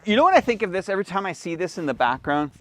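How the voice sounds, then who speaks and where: A man's voice: sits high, sing-song, racing. A man hosting a casual live stream, asking questions and commenting in an informal, rambling way. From Canada. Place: outdoors on the Great Wall. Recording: noisy outdoor live stream.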